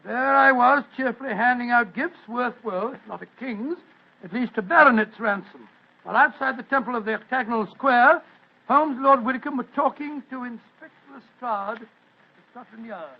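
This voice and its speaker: A man's voice: very high-pitched, moderate pace. A man playing a jovial older British doctor in avuncular, hesitant 1940s radio acting. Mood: genial storytelling. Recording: muffled old radio recording.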